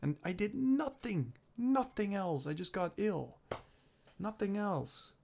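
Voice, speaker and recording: Gravelly young man rambling in a vlog. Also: sits high, animated, takes it slowly. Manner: rambling, restarts and swears, thinking aloud. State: recovering from a cold, throat clearing up. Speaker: vlogger. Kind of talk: personal video diary.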